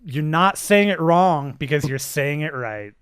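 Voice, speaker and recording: A man's voice: a little high, a little slow, smooth, dry recording. A young man co-hosting a podcast in casual, jokey chat, close to the mic. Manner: jokey, playful, lively. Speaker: podcast co-host.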